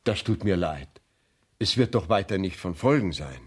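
An actor playing a stern, polite visiting court official in a radio play, husky, precise and reserved. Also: male voice, low-pitched, lively intonation, normal speed. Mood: cool, formal. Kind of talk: 1970s radio play acting.

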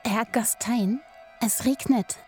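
An actress in a German radio play voicing a prim, proper young lady with a theatrical, drawn-out delivery. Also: a little high, darkish tone, faint music behind. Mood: anxious, urging.

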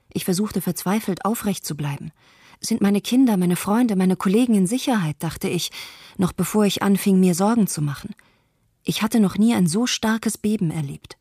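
A woman voicing a shaken architect in a radio play, recalling an earthquake in a measured read narration. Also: mid-range voice, mellow, no echo, clean studio sound, natural voice. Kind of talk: scripted radio documentary monologue.

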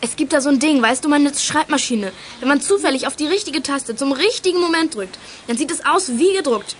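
Eine Schauspielerin spielt in einem Kinderhörspiel ein keckes, mutiges Detektivkind mit vorlauter Art. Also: female voice, high voice, lively intonation, fairly quick, a little hiss or hum. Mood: cheeky. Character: cheeky, plucky young child detective. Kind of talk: German children's radio play acting.

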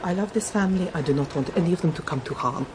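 An actress in a radio drama playing a protective, watchful family retainer who speaks in a guarded, solemn way. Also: female voice, fairly low pitch, very fast, background noise, lightly acted. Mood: foreboding.

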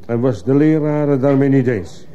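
A man's voice: mid-range voice, moderate pace, mellow, close to an everyday voice. A man playing a stern, probing judge who fires insistent questions in a radio courtroom drama. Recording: muffled, hissy old radio play recording.

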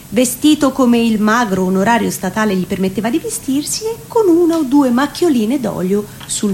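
A woman's voice: on the high side, darkish tone, slight background noise. A woman reciting literary prose as a narrator in a theatrical reading. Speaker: reciting voice in a radio theatre show.

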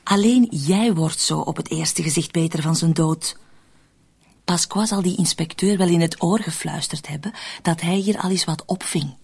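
An actress playing a cunning, cold woman in a radio drama, persuasive and controlled, with a husky, often breathy voice. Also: lowish voice, darkish tone. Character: cunning, cold, manipulative woman plotting to shift murder blame. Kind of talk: Dutch-language radio drama acting.

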